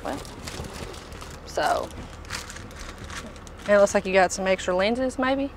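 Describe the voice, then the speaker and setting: A woman's voice: mid-range voice, slow pace, background noise. A woman, casually showing products as a tool dealer inside a packed truck. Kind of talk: casual product walk-through chat.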